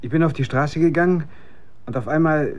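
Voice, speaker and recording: A man's voice: fairly high pitch, fairly quick, natural voice. An actor playing a driven, defensive male researcher in a German radio drama. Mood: bewildered, defensive.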